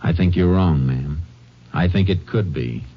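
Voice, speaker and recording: An actor playing a calm, methodical Texas Ranger in a 1950s radio drama, speaking in terse, measured, matter-of-fact lines. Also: male voice, sits low. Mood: firm, probing. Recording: dull vintage 1950s radio recording.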